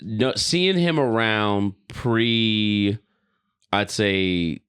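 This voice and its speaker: A man's voice: lowish voice, drawn-out words, a bit bright, clear, resonant tone. A man co-hosting a podcast, chatting casually and giving his opinions in slangy talk peppered with swearing. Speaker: podcast co-host.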